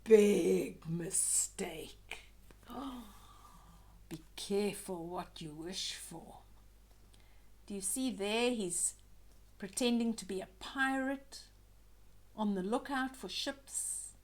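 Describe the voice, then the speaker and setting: An older woman reading a children's picture book aloud expressively, pausing to chat to young listeners about the pictures. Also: medium pitch, a little mellow.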